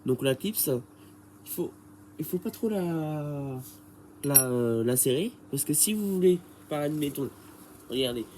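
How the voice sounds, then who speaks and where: A man's voice: on the high side, expressive, measured pace, dark tone, a little hiss or hum, rough recording. A man with a head cold explaining a bike repair in a casual, hesitant way, full of fillers and restarts. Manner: hesitant and rambling, many fillers. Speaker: bike repair tutorial maker.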